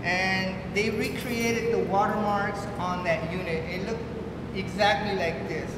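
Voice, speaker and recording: A man's voice: high voice, steady tone, a little slow. A man in his fifties giving a casual, explanatory walking tour as a school plant supervisor. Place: school stairwell and basement electrical room. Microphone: handheld interview microphone. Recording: echoey concrete basement, noisy handheld mic.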